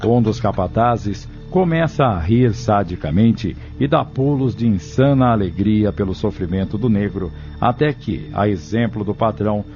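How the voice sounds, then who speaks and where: Low, mellow male narrator. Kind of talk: scripted dramatic narration of an audio novel. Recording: dull, lo-fi recording with music.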